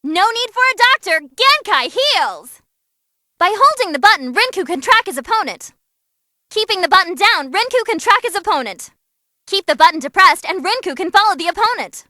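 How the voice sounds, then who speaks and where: A woman voicing an excitable young female demon who commentates on tournament fights in a bubbly, theatrical way for a game. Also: extremely high pitch, normal speed, no echo, clean studio sound, exaggerated voice. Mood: excited, hyped.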